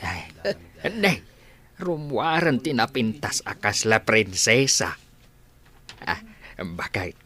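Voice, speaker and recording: A man acts out an elderly village grandfather in a radio comedy drama. He speaks slowly with an Ilocano accent, in a low, bright voice that moves freely up and down as he gently teases. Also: expressive, heavily acted. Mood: teasing.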